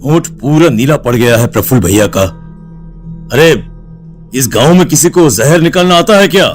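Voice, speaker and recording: A man's voice: medium pitch, expressive, normal speed, soft background music, lightly acted. A man playing a worried, anxious village man in a cartoon story. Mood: concerned.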